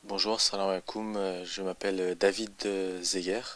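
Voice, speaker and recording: Hesitant young man, lowish voice. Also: level tone, fairly bright tone. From Paris, France. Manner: hesitant, halting, frequent long hesitations. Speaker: convert giving personal testimony. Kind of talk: autobiographical testimony, unscripted.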